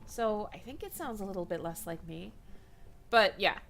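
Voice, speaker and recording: A young woman gaming vlogger chats while she plays, tired yet excitable and quick to poke fun at herself. Her voice is bright and forward. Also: mid-range voice, gravelly. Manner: excitable, chatty, self-deprecating. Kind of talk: casual let's-play chat.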